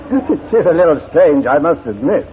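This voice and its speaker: An actor playing a courteous, avuncular older General, a hesitant police chief in a 1940s radio drama. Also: male voice, on the high side, very animated, moderate pace. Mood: mildly concerned. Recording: scratchy, narrow-band 1940s radio recording.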